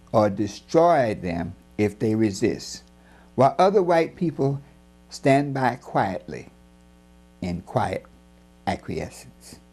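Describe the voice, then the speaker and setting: A man reading his own book as scripted narration, in a grave, deliberate and emphatic oratorical manner. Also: medium pitch, a little mellow.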